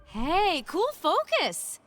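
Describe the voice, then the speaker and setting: Perky, high-voiced young heroine. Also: female voice, wildly swooping pitch, extremely slow, fairly bright tone, heavily acted. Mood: curious, playful. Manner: bubbly, wide-eyed, playful. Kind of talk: comic video game cutscene acting. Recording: music behind the voice.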